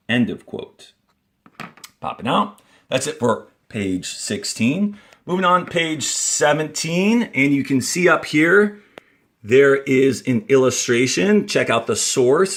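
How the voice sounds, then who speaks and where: A man teaching young students, reading a textbook aloud in a deliberate, instructional way and adding brief explanatory asides as he goes. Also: on the high side. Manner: deliberate, instructional, signposts each page and paragraph.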